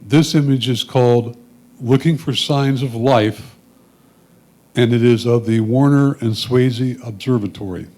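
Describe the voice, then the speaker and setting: Mellow-voiced man reading photo captions. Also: mid-range voice, unhurried. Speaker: reader of photographers' comments. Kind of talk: reading prepared notes aloud.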